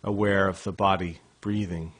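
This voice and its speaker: A man guiding a body scan meditation in a slow, measured, calm and gentle way, leaving long pauses between phrases. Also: sits low. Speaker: meditation guide.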